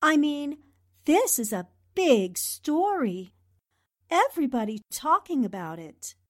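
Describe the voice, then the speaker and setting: A woman's voice: high-pitched, very animated, slow, exaggerated voice. A woman playing a pushy, spirited young newspaper reporter, insistent and pleading, in 1940s radio drama style. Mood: urgent, pleading.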